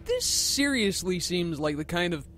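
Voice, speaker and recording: A man's voice: high-pitched, big pitch swings, a little slow, bright, forceful tone, slight background noise. A man co-commentating on a video game casually, with playful quips. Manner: quippy, playful. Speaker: let's play co-commentator.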